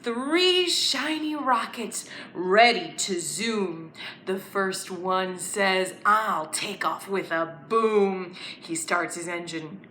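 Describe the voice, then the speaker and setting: A woman presenting a children's story time, speaking in an animated, clearly enunciated way as she invites young children to join in with letters, songs and counting rhymes. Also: medium pitch, slow pace. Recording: roomy echo, faint background noise.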